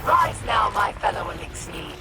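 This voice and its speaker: Casting: commanding female alien warlord, fierce game villain, distant shouted oratory under loud music. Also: medium pitch, sing-song, moderate pace, gravelly. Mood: fierce rallying. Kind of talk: video game villain battle speech.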